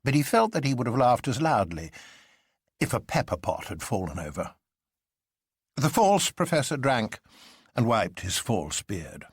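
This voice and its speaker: Expressive man narrating, dry close sound. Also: medium pitch, a little slow, pauses often, husky, no room sound. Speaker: narrator, audiobook reader. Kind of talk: dramatic scripted narration.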